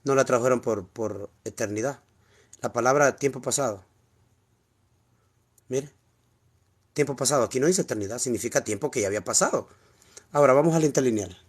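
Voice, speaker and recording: A man's voice: medium pitch, a little fast, long pauses, breathy edge. Honduran man explaining scripture. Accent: Honduran Spanish. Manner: explanatory, repeats key words, asks rhetorical questions. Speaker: preacher teaching scripture. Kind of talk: informal Bible teaching, screen walkthrough.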